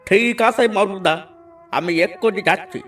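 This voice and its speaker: A man's voice: high-pitched, moderate pace, a bit bright. A man voicing an obedient young village helper in a cartoon, eager to please. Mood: obliging. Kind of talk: cartoon voice acting. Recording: distant, music behind.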